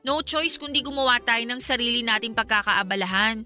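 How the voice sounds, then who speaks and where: A woman's voice: a little high, brisk, sharp tone. A Filipino actress playing a sentimental young woman chatting with her friend in a radio drama. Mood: casual, resigned. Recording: music bed behind the voice.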